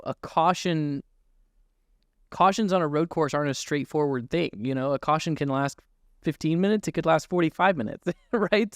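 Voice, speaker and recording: Clear, smooth-voiced man discussing motorsport. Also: fairly high pitch, no echo, clean studio sound. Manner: analytical, thinking aloud. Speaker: podcast co-host and racing driver. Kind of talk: casual sports podcast discussion.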